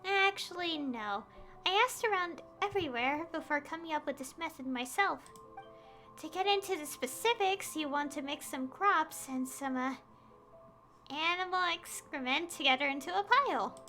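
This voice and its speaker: A woman reading aloud a puzzled, earnest villager man in a video game, a little haltingly. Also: high voice, animated, pushed and bright, faint music behind. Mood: earnest explaining. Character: puzzled, earnest farmer villager man tinkering with homemade fertilizer.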